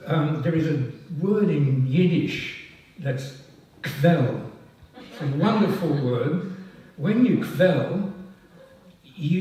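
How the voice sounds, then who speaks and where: An older man, born in France, giving a halting, self-deprecating speech at a ceremony, full of ums and restarts. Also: a little high, mellow. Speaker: past president honoured at a society oration. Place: large hall at a public event. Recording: echoey hall, voice from far off. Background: audience laughing.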